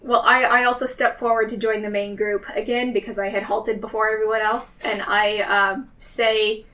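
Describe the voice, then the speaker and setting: Bright-voiced woman playing a tabletop game. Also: medium pitch, flat delivery, moderate pace, far from the mic, low-quality recording. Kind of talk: casual chat, playing in character.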